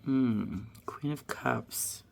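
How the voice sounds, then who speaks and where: A hoarse woman getting over a cold, musing aloud as she pulls and reads tarot and oracle cards. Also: extremely low pitch, very slow, noisy background. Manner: musing aloud, drifting to soft murmurs. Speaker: tarot reader. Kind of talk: casual card-reading vlog.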